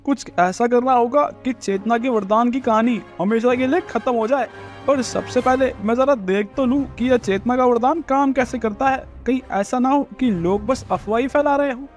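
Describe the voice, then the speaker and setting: A man playing a scheming, spiteful rich villager in an animated moral story, with an accusing, theatrical delivery. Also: very high voice, moderate pace, soft background music.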